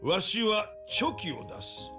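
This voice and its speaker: An actor playing a gruff, stubborn, impatient old grandfather in a Japanese anime game, grumbling in old-fashioned elderly speech. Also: high voice, sing-song, brisk, sharp tone, soft background music, heavily acted.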